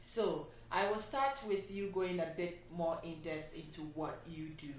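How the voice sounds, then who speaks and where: A woman hosting a panel discussion, speaking at an unhurried pace with fillers and pauses. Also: fairly low pitch. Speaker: host. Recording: echoey room from a distance, faint noise.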